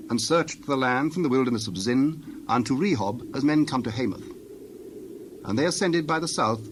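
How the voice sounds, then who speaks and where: A man narrating scripture from a script in a solemn, deliberate storytelling manner. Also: medium pitch. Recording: clear background noise with birds.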